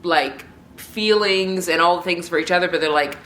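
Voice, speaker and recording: A woman's voice: fairly low pitch, moderate pace, a bit bright. A woman with a stuffy, congested nose, chatting casually and enthusiastically as she reviews books she has read. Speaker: book vlogger. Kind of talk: casual book review chat. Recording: roomy echo.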